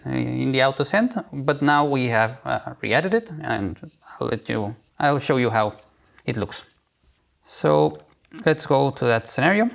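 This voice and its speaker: Male software presenter, mid-range pitch, creaky edge, informal step-by-step demo delivery. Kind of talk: live software demo walkthrough.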